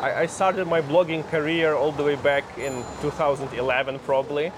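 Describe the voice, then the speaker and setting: A man's voice: fairly high pitch, fast. A man with a Ukrainian accent, a native Ukrainian speaker, speaks as a blogger guest in a conversational interview, explaining things earnestly outdoors on a city street. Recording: loud street traffic noise.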